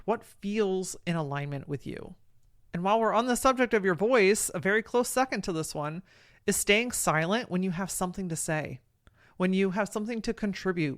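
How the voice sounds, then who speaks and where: A woman hosting a podcast, talking frankly and encouragingly in a casual way with a deep voice. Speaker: podcast host. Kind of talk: solo podcast talk, motivational.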